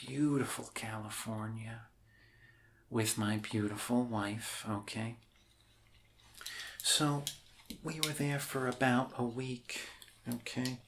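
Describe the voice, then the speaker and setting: A man playing an awkward, apologetic doctor in an ASMR roleplay, talking in a halting, rambling, reassuring way. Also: mid-range voice, a bit bright, a little hiss or hum. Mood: apologetic, bumbling. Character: awkward, apologetic, bumbling male doctor welcoming an alien.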